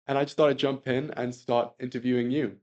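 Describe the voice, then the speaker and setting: A man co-hosting a podcast who interviews his partner in a relaxed way and keeps him talking with short encouragements. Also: medium pitch, a little fast. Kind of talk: casual podcast conversation. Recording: slightly dull, lo-fi sound.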